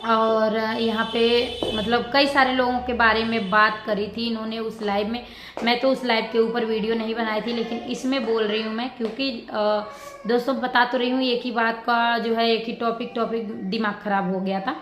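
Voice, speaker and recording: High-voiced woman in an echoey room. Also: flat delivery, a bit bright. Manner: rambling, repetitive, halting. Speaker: vlogger. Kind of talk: casual reaction commentary addressed to viewers. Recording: roomy echo with background noise.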